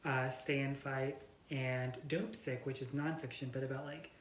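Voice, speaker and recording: A woman with a low-pitched voice chats casually about books as a vlog host. Her delivery is loose and halting, padded with small filler words. The room adds an audible echo around her. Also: steady tone, slight background noise. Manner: halting, filler-heavy with frequent "like". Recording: roomy, echoey home recording.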